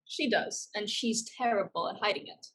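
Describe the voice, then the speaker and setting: A woman's voice: mid-range voice, moderate pace, fairly bright tone, slightly rough. A woman playing a fierce, protective older sister, direct and firm, in a staged play reading. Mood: pointed, probing.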